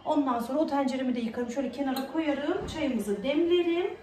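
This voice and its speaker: High-voiced chatty woman in an echoey home. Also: brisk, warm tone. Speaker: vlog host. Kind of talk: casual home vlog chat. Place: indoors at home, kitchen and living room. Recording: roomy echo, distant mic, faint noise.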